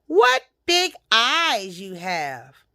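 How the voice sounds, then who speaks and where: A woman's voice: fairly high pitch, wildly swooping pitch, very slow, pushed and bright, smooth, no echo, studio quality, exaggerated voice. A woman voicing a polite, trusting, cheerful little girl in a storybook tale, with sweet sing-song innocence. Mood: curious.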